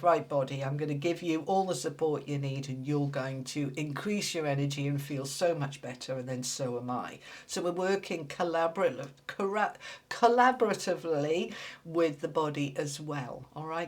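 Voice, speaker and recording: A woman gives a tarot reading to her audience in a casual, chatty way. Her voice sounds congested and a little nasal, as if a cold is coming on. She talks plainly and bluntly while still cheering the listener on. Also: sits low. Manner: direct, blunt, encouraging. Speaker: tarot reader.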